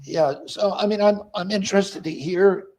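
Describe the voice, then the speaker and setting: A man with a raspy voice, speaking hesitantly in a discussion with many stops and restarts. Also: high voice, normal speed, a little mellow, low-quality recording. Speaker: interview guest. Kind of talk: conversational discussion.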